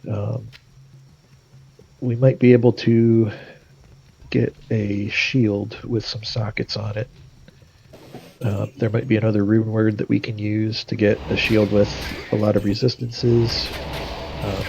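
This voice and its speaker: A man's voice: lowish voice, little pitch movement, slow pace, vocal fry, long pauses, darkish tone, highs cut off, slight background noise. Stuffy-nosed man commenting on gameplay. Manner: explains decisions thinking aloud, many fillers. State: nasal and stuffy from a cold. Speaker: game streamer.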